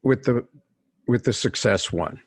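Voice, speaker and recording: An older man from New York City, a guest executive coach, telling stories in a measured interview manner and often restarting his phrases. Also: medium pitch, darkish tone, husky, no echo. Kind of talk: conversational interview storytelling.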